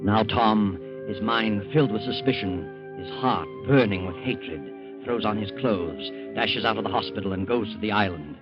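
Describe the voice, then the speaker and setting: An actor as a grave, suspenseful storyteller, narrating an old-time radio drama in a deliberate, portentous voice. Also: male voice, fairly low pitch, lightly acted. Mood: urgent, suspenseful. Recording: muffled old radio recording. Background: prominent plucked-string music.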